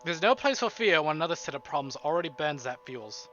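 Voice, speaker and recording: Bright, forceful man streaming a game read-aloud. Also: on the high side, big pitch swings, fast, highs cut off. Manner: dramatic reading broken by casual swearing banter. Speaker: streamer reading a visual novel aloud. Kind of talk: live stream read-aloud with joking asides. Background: game music.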